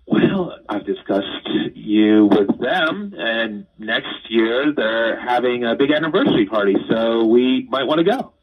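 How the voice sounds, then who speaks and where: A man's voice: mid-range voice, expressive, measured pace, hardly any pauses. A man speaking casually and defensively on a phone call, hesitant and stammering. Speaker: surprised call-in guest on a radio prank call. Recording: muffled, husky phone line from far off.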